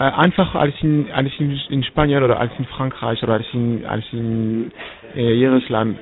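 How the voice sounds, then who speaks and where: A Frenchman speaking German with a French accent, hesitating and repeating words as he looks for them in an interview. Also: mid-range voice. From France. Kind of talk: halting interview answers. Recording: tinny, phone-like radio sound.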